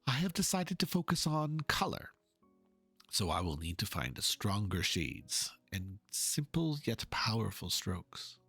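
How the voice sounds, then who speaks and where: A man playing an earnest, pompous painter in a dramatic reading of game dialogue, speaking in a florid, halting, theatrical way. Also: fairly low pitch, big pitch swings, vocal fry, pushed and bright, breathy edge, dry recording, faint music behind, full character voice. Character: theatrical, earnest male painter, pompous and fervent about art.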